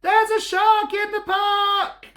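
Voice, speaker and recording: A man's voice: very high-pitched, completely flat delivery, slow, sharp tone, pitched above the performer's own voice, full character voice. A man doing a shrieking little boy. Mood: alarmed. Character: excited little boy shouting alarm. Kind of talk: picture-book read-aloud.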